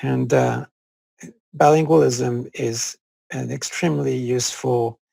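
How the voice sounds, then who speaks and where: A man's voice: medium pitch, fairly even intonation, takes it slowly, gravelly, mellow, slightly rough, dry recording. A man who grew up in France, a native French speaker, speaking thoughtfully and hesitantly in a conversational discussion, with many fillers. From France (Corsica). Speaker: co-host.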